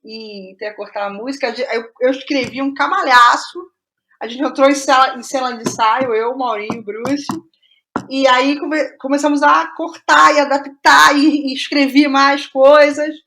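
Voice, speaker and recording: A woman's voice: high-pitched, fairly even intonation, normal speed, pushed and bright, slight background noise. A Brazilian woman being interviewed as a playwright, talking informally and reflectively about her creative process, often repeating phrases as she goes. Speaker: playwright guest.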